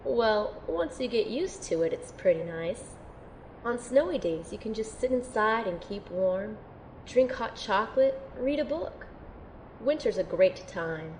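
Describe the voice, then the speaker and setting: A woman playing an earnest, unsure young female recruit in an audio drama, sounding sincere, gentle and tentative. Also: mid-range voice, big pitch swings, a little slow, slight background noise, low-quality recording. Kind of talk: audio drama acting and first-person narration.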